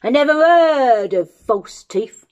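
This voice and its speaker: A woman voicing a very old, toothless giant in a storybook, gruff and grumbling, with booming, rustic, ungrammatical speech. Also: animated, slow pace, highs cut off. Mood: roaring, fierce.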